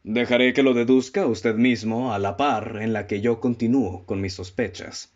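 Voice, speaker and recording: A Mexican man acting in a student radio drama as a self-assured, methodical amateur detective who speaks in a formal, deliberate, theatrical way. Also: medium pitch, steady tone, darkish tone, lightly acted. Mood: confident, probing. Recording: dull, muffled lo-fi audio.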